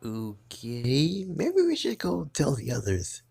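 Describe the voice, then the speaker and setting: A man's voice: huge pitch swings, vocal fry, breathy edge. A man voicing the friends in a fan-made skit with a goofy delivery. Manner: jumpy pitch, slow, goofy delivery. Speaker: skit maker and narrator. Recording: music playing loudly behind.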